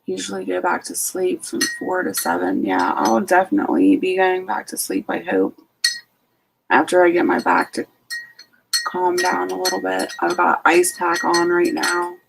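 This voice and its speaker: A woman's voice: fairly low pitch, normal speed, gravelly, noisy background. A sleepy woman hosting a late-night casual live stream from home, greeting each viewer by name. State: sleepy, just out of bed. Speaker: homestead channel host.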